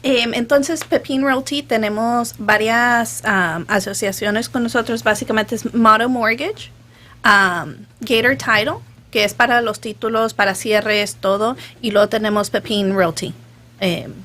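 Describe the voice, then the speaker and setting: A woman's voice: on the high side, normal speed, fairly bright tone. A woman, a real estate agent on a radio show, translating in a shy, hesitant way with many fillers. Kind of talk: radio interview.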